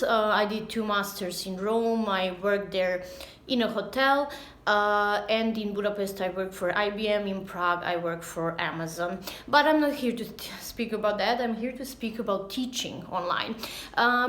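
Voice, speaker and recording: A woman's voice: mid-range voice, fairly bright tone. A woman in her thirties, a native Serbian speaker with a Serbian accent, chats casually and somewhat hesitantly to camera as a language teacher, recorded on a phone at arm's length. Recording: echoey, roomy sound.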